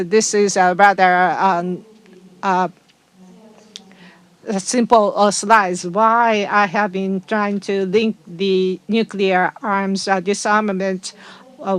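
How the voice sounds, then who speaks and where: Woman, simultaneous interpreter, hesitant with many uhs, mid pitch. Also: flat delivery, clear, resonant tone. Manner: halting, frequent fillers and restarts. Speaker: conference interpreter.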